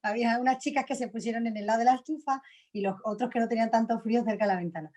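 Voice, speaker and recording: A woman's voice: fairly high pitch, racing, darkish tone. Chatty woman. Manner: reflective, laughs often, frequent fillers. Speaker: actress, interview guest. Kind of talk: relaxed conversational interview answers.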